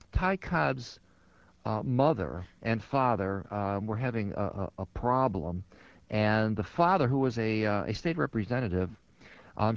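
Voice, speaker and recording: Older man telling stories, halting delivery. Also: fairly low pitch, no echo. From Chicago. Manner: halting, many fillers and restarts. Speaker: guest, sports writer. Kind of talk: conversational storytelling. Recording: dull lo-fi radio sound.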